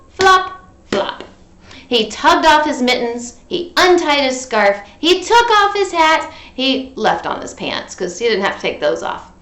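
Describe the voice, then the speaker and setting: A woman's voice: a little high, creaky, fairly bright tone, echoey room. A woman reading a picture book to children in a playful, interactive way, coaxing listeners along. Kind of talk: animated children's read-aloud with sung refrains and asides.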